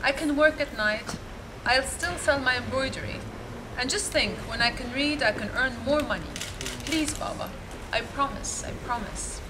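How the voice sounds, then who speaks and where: A woman's voice: sits high, moderate pace, lightly acted. A woman voicing an eager, determined young village girl, earnest and pleading, in a storybook reading. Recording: noisy live event reading.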